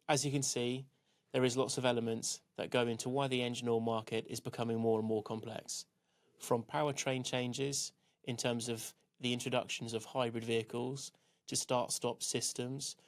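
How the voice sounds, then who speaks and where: A man narrates a training film from a script. His delivery is measured and instructional, and his pitch stays fairly flat and even throughout.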